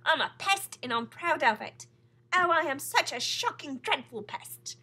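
Storybook centipede pest, played by a woman: brash, gleeful male bug, loud theatrical delivery, high forceful tone. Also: animated, measured pace. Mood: gleeful, boastful. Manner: exuberant, shouting, theatrical. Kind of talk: children's storybook character reading.

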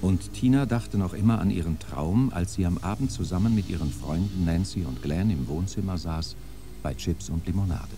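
A man's voice: deep voice, moderate pace. A man giving scripted narration for an audio drama. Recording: music under the narration.

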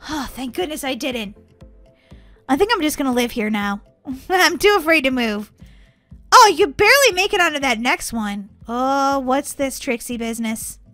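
Excitable girly woman doing gameplay commentary, super high n bright, kinda childlike, bit of music behind. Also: moderate pace, no echo. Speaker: gaming video host.

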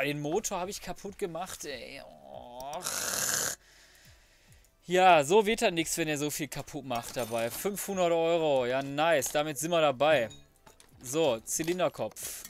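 A man giving casual let's play commentary, colloquial and mildly sweary, often muttering to himself. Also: on the high side. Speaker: gaming video host.

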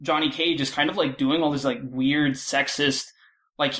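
A man talking casually as a panel guest, halting and peppering his speech with filler words. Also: on the high side, steady tone, sharp tone. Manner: halting, filler-heavy, frequent "like". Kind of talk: casual discussion.

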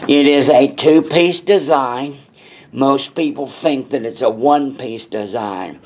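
A man speaks slowly and deliberately at an ordinary mid pitch, laying things out in a blunt, plain-spoken manner. His casual shop talk is caught on a camera mic near the workbench in an indoor parts workshop. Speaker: parts seller explaining brakes.

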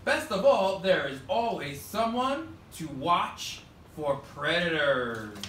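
A man in his thirties teaching third graders with call-and-response, animated and projecting to the class. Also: a little high, slow pace. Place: elementary school classroom, indoors. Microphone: far camera at the back of the room. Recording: roomy, noisy classroom, distant camera mic.

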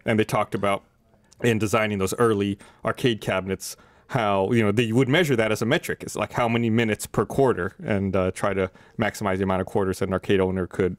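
A man's voice: fairly low pitch, fairly even intonation, fast talker, no echo. A man in his thirties hosting a casual panel discussion on a podcast, speaking close to a studio microphone. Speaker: podcast host. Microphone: close studio microphone on desk.

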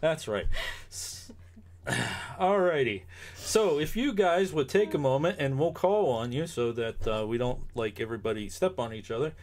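A man's voice: medium pitch, animated, normal speed, a little mellow, a little hiss or hum. Man casually hosting a live stream. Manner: easygoing, fumbling with audio setup. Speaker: host of a live panel stream.

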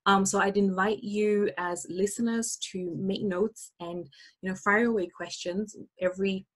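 Husky-voiced woman hosting a webinar. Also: mid-range voice, fairly even intonation, a little slow. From Austria. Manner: chatty, many fillers and restarts. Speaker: summit host. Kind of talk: webinar presentation. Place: indoor room. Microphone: webcam on a video call.

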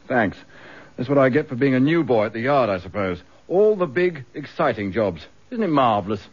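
An actor with a British accent playing a good-humoured, mildly put-upon detective inspector in a radio drama, informal and wry. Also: male voice, mid-range voice, very animated, moderate pace, slightly rough. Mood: mock grumbling. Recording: dull, lo-fi radio recording.

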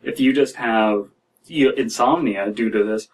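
A man in his thirties reading and reacting to stories as a podcast host, in a halting, wry, casual way. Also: mid-range voice, recorded from a distance, low-quality recording. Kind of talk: casual commentary and story reading. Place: small dim room indoors.

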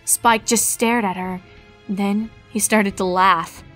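A female narrator reads a scripted story. Her pitch is average for a woman, and quiet music plays behind her. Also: fairly even intonation, takes it slowly.

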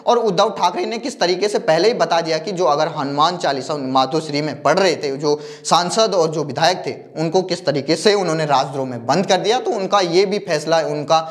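A man's voice: a little high, normal speed, hardly any pauses. A young man presenting news commentary to camera in a studio on a lapel mic, reeling off lists of figures as he talks straight to the viewer. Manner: talks directly to the viewer, gesturing with a phone in hand; reels off long lists of figures. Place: dark studio backdrop, indoors.

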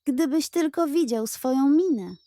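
A woman voicing a lazy male tomcat trickster in a children's tale, calm and self-satisfied. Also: high voice, a little slow, no echo, studio quality, voice pushed up. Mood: smug.

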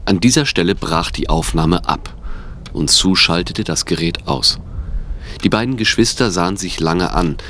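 A man's voice: low voice, normal speed, sharp tone, a little hiss or hum. A man reading scripted narration for an audio drama.

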